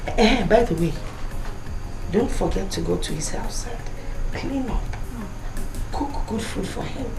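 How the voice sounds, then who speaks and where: A woman's voice: mid-range voice, creaky. A woman plays a scheming mother talking persuasively and in confidence. Her voice sounds distant and roomy, with music under it. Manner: persuasive, conspiratorial. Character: scheming mother pushing a rich match.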